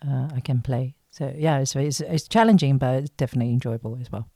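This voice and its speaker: A woman's voice: extremely low pitch, fast talker, dark tone, no room sound, studio quality. A woman from China speaking as an interview guest, an actress answering casually in hesitant, thoughtful phrases.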